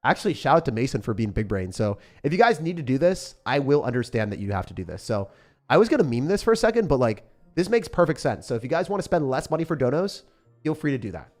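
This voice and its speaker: A man's voice: medium pitch, very animated, fast talker, darkish tone, soft background music. A young man live-streaming a game, chatting casually with viewers, joking and reading their messages aloud, with slang like bro and man. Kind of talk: live-stream gameplay chat.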